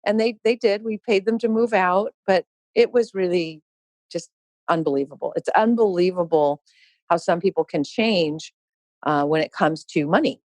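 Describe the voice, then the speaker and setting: A woman, a real estate company founder, telling a story as a podcast guest in an emphatic, animated way, laughing often and adding quick asides. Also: on the low side, dry recording. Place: podcast interview.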